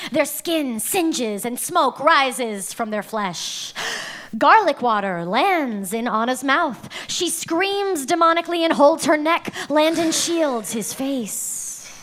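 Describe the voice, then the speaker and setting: A woman's voice: high-pitched, a bit bright. A woman reading stage directions as narrator in a dramatic live table read of a script.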